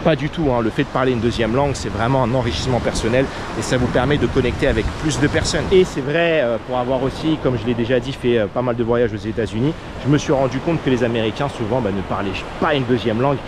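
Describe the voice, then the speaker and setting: A man's voice: medium pitch, fast talker, hardly any pauses. Earnest French man, outdoor surf noise. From France. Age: under 40. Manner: earnest, many fillers and repeated words. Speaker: vlogger, expat coach. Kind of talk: casual advice monologue. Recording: outdoor noise of waves and traffic.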